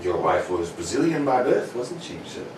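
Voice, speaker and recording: A man playing a genial, polite gentleman companion with a hesitant, bumbling manner in a radio play. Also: medium pitch, big pitch swings, normal speed, natural voice. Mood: polite, flustered. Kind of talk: amateur radio-play acting. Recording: roomy, distant, noisy live recording.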